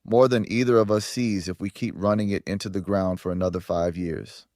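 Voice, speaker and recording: A man's voice: low voice, steady tone, fairly quick, darkish tone, dry recording, studio quality. A man voicing a pragmatic, coolly persuasive businessman in an audio story. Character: smooth, pragmatic businessman, coolly pressing for a sale.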